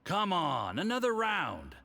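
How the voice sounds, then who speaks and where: A man's voice: high voice, huge pitch swings, slow pace, bright, forceful tone, exaggerated voice. An actor playing a smug, menacing man who speaks in a suave, taunting way, in a video game. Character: smug, menacing man goading a junior to drink. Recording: very reverberant, some background noise.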